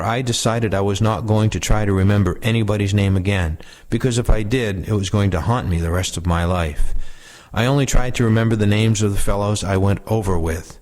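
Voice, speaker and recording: A man's voice: fairly low pitch, darkish tone. A man reading first-person war memoirs aloud in a plain, conversational way. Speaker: reader of veterans' oral histories.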